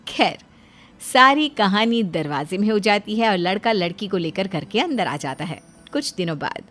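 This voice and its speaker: A woman's voice: mid-range voice, big pitch swings, normal speed, fairly bright tone. A woman narrating a scripted cartoon story.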